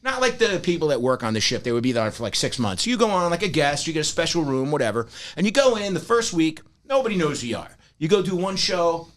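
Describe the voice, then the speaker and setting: Male podcast co-host, lively swooping delivery, playful storyteller. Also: a little high, fast. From New York area. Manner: animated storyteller, acts out crowd voices loudly. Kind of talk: casual chat.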